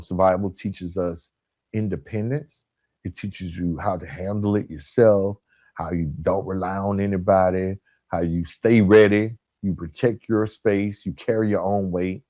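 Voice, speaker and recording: A man hosting a motivational coaching monologue, speaking directly and informally to listeners with emphatic, deliberate phrasing and repetition. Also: low voice, mellow, no echo. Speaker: podcast host and author.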